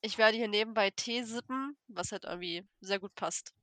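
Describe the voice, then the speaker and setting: A woman's voice: mid-range voice, moderate pace, bright, forceful tone, dry recording. A woman co-hosting a book podcast in casual chat, still sick with a cold, chatty and giggly. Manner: chatty, giggly, mixes in English words. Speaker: podcast co-host.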